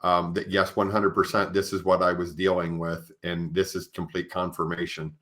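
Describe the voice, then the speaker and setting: A man's voice: low-pitched, level tone, normal speed, a bit bright. A man hosting a conversational podcast interview, speaking informally and often tagging his phrases with "you know". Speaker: podcast host.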